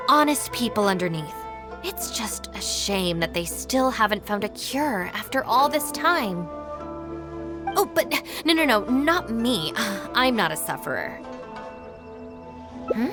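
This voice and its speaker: A woman voicing a composed, polite young gang deputy in a video game, formal and smooth-talking. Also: fairly high pitch. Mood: earnest, regretful, then persuasive. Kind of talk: English video game voice acting. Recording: clean studio voice over game music.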